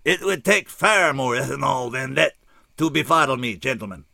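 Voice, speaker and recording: A man reading a story lends his voice to a gaunt alien scout who carries a terrible duty. The voice sits far higher than a plain reading, slow and measured, with an odd foreign lilt. Gravelly edges and a bright, pointed tone keep him tense. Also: lively intonation, no room sound, clean studio sound, heavily acted. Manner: slow, formal, oddly accented speech. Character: towering gaunt male alien scout, weary and tormented.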